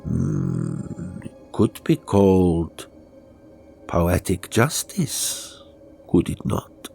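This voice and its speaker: A man voicing a cold, gloating stage ventriloquist villain in a horror story, with stern, measured and formal speech. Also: deep voice, wildly swooping pitch, gravelly, mellow, breathy edge, soft background music, exaggerated voice. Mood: quiet gloating.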